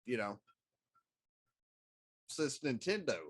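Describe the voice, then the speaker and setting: A man's voice: mid-range voice, big pitch swings, takes it slowly, breathy edge. A middle-aged man talking casually as a talk show co-host, meandering through his opinions. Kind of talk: casual chat.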